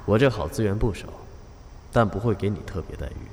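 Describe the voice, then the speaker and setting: Drama lead: curt, quietly caring male boss male actor, low register, cool and terse. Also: brisk, a little hiss or hum, lightly acted. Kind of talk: Chinese audio drama acting.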